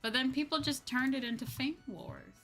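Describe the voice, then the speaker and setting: A woman's voice: high-pitched, steady tone, moderate pace, a bit bright, faint music behind. A woman livestreamer chatting casually, in an informal, slangy, ranting way that acts out her own reactions. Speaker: VTuber livestream host.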